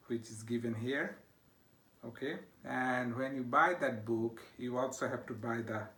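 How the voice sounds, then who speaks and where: A man's voice: medium pitch, fairly bright tone. A middle-aged man with a Bangladeshi accent, a university instructor introducing his course from a home study, with frequent "uh" fillers and a checking "okay?". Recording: echoey room.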